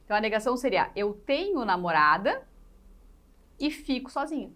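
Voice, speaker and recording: High-voiced woman teaching. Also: very animated, normal speed, bright, forceful tone. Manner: didactic, repeats key points, poses questions to learners. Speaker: teacher in an exam-prep class. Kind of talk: live lesson explaining exercises step by step.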